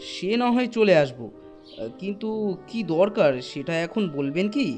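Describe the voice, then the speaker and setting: A man voicing a concerned, stern father of a young girl in an animated ghost story, speaking in a firm, questioning way. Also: high-pitched, a little slow, soft background music. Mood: puzzled, polite.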